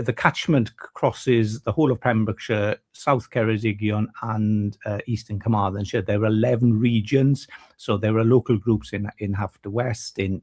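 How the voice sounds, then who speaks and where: A man's voice: lowish voice, darkish tone. A man, a guest on a radio show, talking about a festival with many fillers and restarts. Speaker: festival committee vice chair. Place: radio studio.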